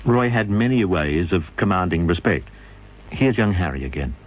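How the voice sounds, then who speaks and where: A man's voice: sits low, animated, moderate pace, poor audio. A man presenting and asking questions as a radio documentary interviewer.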